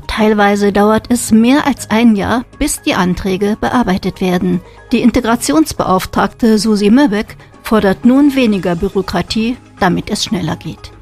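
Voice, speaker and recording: A woman's voice: medium pitch, darkish tone. A woman presenting radio news in a studio and interviewing a new volunteer in a friendly way.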